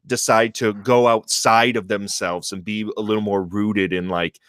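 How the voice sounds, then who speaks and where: A man's voice: lowish voice, steady tone, moderate pace, a bit bright, clear, resonant tone, dry recording, clean studio sound. A man with a Wisconsin accent, a podcast guest talking in wry, casual chat with some swearing.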